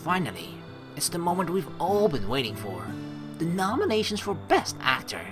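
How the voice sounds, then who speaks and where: Male streamer, lively pitch swings, casual and joking, sweary, music underneath. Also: moderate pace. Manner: animated, joking, swears freely. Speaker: let's play streamer. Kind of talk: casual playthrough commentary mixed with reading narration aloud.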